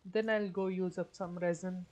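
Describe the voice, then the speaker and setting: A woman's voice: fairly low pitch, fairly even intonation, normal speed, a little mellow. A woman chatting casually on a game livestream, thinking aloud in a hesitant way. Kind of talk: casual livestream chat while gaming.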